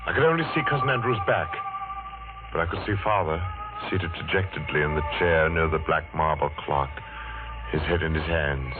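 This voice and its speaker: A man's voice: very deep voice, normal speed. An actor playing a haunted man telling of his past, in grave, suspenseful old-time radio narration. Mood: haunted dread. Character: haunted man recounting his dreadful boyhood. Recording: tinny vintage radio with music.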